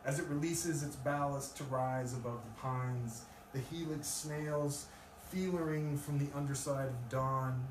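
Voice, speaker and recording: A male poet speaks at a live reading in a room. His voice is picked up from a distance with plenty of echo. There is clear, loud noise over the recording. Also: medium pitch, steady tone, unhurried, few pauses. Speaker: poet reading own work. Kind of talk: live poetry reading with casual introduction. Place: live event in a room with an audience.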